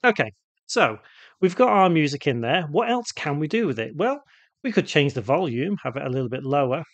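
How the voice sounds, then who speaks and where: A man narrating an unscripted software tutorial, casually thinking aloud as he demonstrates each step. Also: fairly high pitch, sing-song, fairly quick, no echo. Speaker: tutorial presenter.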